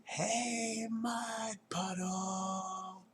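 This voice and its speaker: A man voicing an excitable, indignant little girl in a children's storybook, calling out in long drawn yells. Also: slight background noise, full character voice. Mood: defiant yelling. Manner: high-pitched drawn-out yelling.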